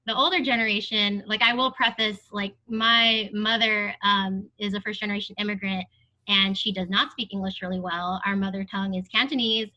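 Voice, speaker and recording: Young woman panelist, earnest and thoughtful; bright tone on muffled audio. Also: medium pitch. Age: young adult. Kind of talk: panel discussion.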